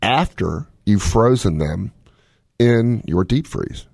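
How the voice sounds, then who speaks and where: A man's voice: on the low side, expressive, slow pace, vocal fry, darkish tone, breathy edge, no echo, clean studio sound. A man hosting a radio talk show, giving casual, folksy health advice with plenty of joking. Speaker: medical expert radio host.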